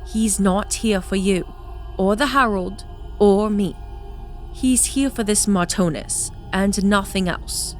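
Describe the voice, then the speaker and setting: A man's voice: high voice, takes it slowly, soft background music, pitched above the performer's own voice. A male narrator voicing a composed, fond noblewoman who speaks in a soothing way in an audio drama. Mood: reassuring. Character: calm, fond scheming noblewoman.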